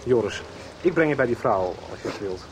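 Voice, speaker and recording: A man's voice: mid-range voice, animated, a little fast, lightly acted. Man als vermoeide, ontwijkende vader, ruisende achtergrond. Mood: urgent, decisive. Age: middle-aged. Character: evasive, world-weary middle-aged father. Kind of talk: radio soap acting. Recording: noisy rainy background. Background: rain.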